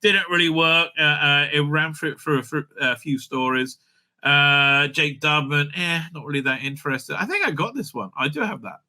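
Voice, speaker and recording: Forceful, high-voiced man ranting off the cuff. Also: normal speed. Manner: blunt, dismissive, repeats himself, sweary. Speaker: YouTube host and reviewer. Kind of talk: rambling, opinionated off-the-cuff commentary.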